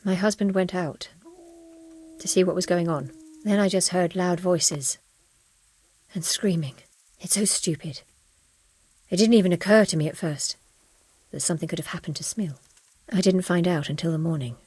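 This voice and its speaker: A woman's voice: lowish voice, moderate pace, pauses often, a little mellow, breathy edge, no room sound. A woman playing a shaken, bereaved village widow in a medieval video game, plain-spoken and weary. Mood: shaken, sorrowful. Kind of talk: dramatic video game acting.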